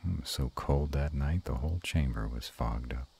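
A man playing an affectionate, bashful, gruff were-dragon lover in an intimate romantic roleplay audio, with a slow, teasing murmur. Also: mellow, heavily acted. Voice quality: very deep and husky.

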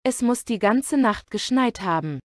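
A woman reading short phrases slowly and deliberately for a language course, word by word and then whole sentences. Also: fairly high pitch, animated, darkish tone, dry recording, clean studio sound. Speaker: German language model speaker. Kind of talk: slow repeated phrase reading.

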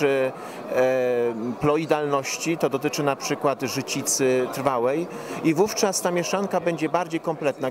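Higher-pitched middle-aged man, crowd behind. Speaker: university professor, interviewed expert. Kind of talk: expert interview answers. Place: interview stand at a busy indoor forum. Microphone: handheld reporter microphone held out to him. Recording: busy trade event crowd noise.

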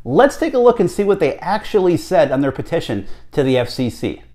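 American guy, 30s, bit higher pitched, chatty hobby vlog host explaining stuff with emphasis and rhetorical questions. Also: big pitch swings, brisk, warm tone. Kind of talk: explanatory commentary to camera. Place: small home office, indoors.

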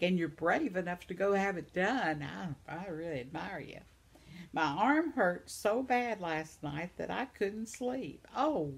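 An older woman, chatting at home to her viewers as she reads their comments aloud, sympathetic and reacting to each one. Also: on the high side. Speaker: vlogger. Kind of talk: casual chat reading viewer comments. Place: living room at home, seated in armchair.

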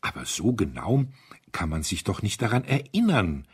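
A male reader acts out a pompous gentleman chairman from a storybook. He is ponderous and hesitant. His voice is notably husky. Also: on the low side, sing-song, moderate pace, full character voice. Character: slow-witted, pompous gentleman club chairman.

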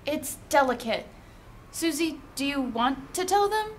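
Woman playing an earnest impulsive college student, very high animated voice, audio drama, faint noise behind. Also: a little slow. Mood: gentle. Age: young adult.

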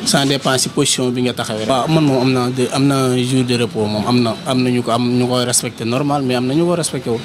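A man's voice: mid-range voice, fairly even intonation, fast, hardly any pauses. Young man on a noisy bus. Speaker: bus worker. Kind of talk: street interview. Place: inside a city bus. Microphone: handheld reporter mic. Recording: bus noise behind.

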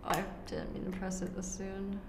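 A woman's voice: medium pitch, fairly even intonation, normal speed. A young woman playing a video game and chatting playfully, laughing often at her own moves, heard from a camera across an indoor room. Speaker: game player. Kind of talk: casual chat while playing. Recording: roomy, noisy room from afar.

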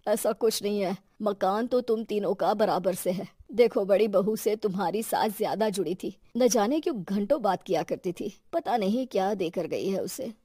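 A woman voicing a gentle, generous old father-in-law in a cartoon, mild and reassuring. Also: mid-range voice, normal speed, few pauses, no echo, studio quality, close to an everyday voice.

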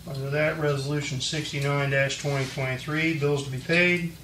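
Man reading council motions, flat tone. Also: a little high, moderate pace. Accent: Ohio. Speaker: council member presenting resolutions. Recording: echoey room, far mic, background noise.

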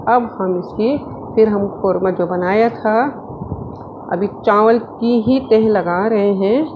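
A woman narrates a recipe step by step with a middling pitch. She repeats herself as she describes each action. The recording is dull and lo-fi, with clear kitchen noise behind her. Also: slow pace. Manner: repetitive, explains each action as she does it. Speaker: home cook hosting a recipe video. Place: indoor home kitchen.

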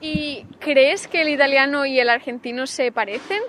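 Woman doing street interviews, high voice, quick follow-ups, bit of city noise. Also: steady tone, fairly bright tone. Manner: brisk, encouraging, quick follow-up questions. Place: city sidewalk outdoors. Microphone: handheld mic.

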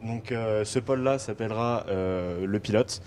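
A man's voice: fairly low pitch, moderate pace, darkish tone, slight background noise. A young man from Lyon, a student hosting a live cooking show, speaking hesitantly with frequent filler sounds. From Lyon, France. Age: young adult. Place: teaching kitchen, indoors. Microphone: handheld mic.

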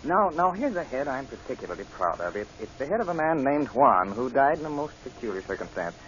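An actor playing a smug, sinister gentleman murderer in an old radio drama, falsely genial and taunting, with stammered starts. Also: mid-range voice, big pitch swings, brisk, a little hiss or hum. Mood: smugly genial. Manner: taunting, mock-polite, hesitant stammering starts. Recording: dull, muffled old radio broadcast.

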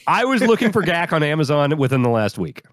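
A man's voice: fairly high pitch, sing-song, quick pace, fairly bright tone, no room sound. A middle-aged man chatting casually and enthusiastically as a podcast co-host. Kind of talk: casual banter on a comedy podcast. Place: indoor home studio. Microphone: close desk microphone with headphones.

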